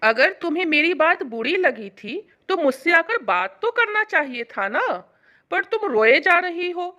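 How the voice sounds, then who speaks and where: Woman acting a high-voiced, expressive mother-in-law. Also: a little slow, exaggerated voice. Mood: reassuring. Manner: patient, explanatory. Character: older mother-in-law, affectionate, explaining, reassuring. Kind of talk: dramatised family story.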